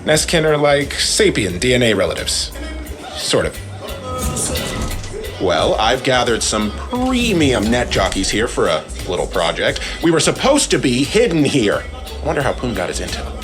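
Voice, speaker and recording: Shrewd alien hacker, animated delivery, casual wry patter, video game cutscene, clear background music. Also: male voice, mid-range voice, moderate pace. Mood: chatty, businesslike. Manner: chatty, wry, streetwise.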